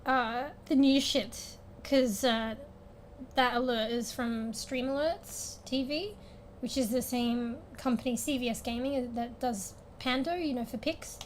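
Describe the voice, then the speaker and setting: A young woman streamer, a little raspy today, chatting casually at home into a desk mic, rambling, laughing a lot and swearing now and then. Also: a little high, measured pace, slight background noise, low-quality recording. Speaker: game streamer. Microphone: desk microphone with fan blowing on it. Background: soft background music.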